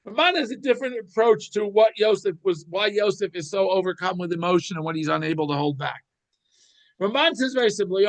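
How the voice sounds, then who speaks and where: A man giving an informal religious lesson, explaining scripture like an animated storyteller who thinks aloud and repeats phrases. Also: sits high. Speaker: Torah teacher.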